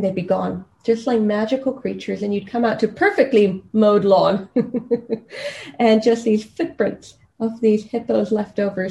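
This is a woman from Kenya in a conversational podcast interview. Her voice is warm and relaxed in timbre, sitting at an ordinary pitch. She talks in a personal, storytelling way. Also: vocal fry. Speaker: podcast guest.

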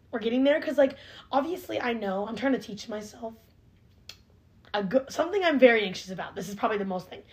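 A nineteen-year-old woman in a casual chat, earnest and self-reflective, saying "like" all the time. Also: medium pitch. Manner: chatty, heavy use of "like", earnest and self-reflective.

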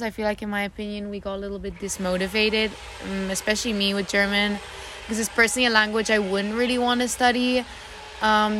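A woman co-hosting a relaxed student podcast, talking freely and padding her sentences with "like". Her pitch stays fairly level. A faint hiss sits under the recording. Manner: filler-heavy, lots of "like". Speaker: podcast co-host. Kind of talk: casual student podcast chat.

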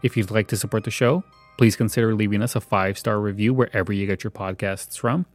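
A man hosts a podcast in a casual, conversational way. His voice sits a little low, and faint music plays behind him. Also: steady tone, quick pace, no echo. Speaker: podcast host. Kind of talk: casual solo podcast commentary.

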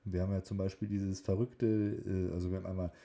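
A man co-hosting a casual podcast, teasing and joking, often halting and correcting himself. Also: deep voice, flat delivery, creaky, warm tone. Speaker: podcast co-host. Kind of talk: casual banter and chat about voice training classes.

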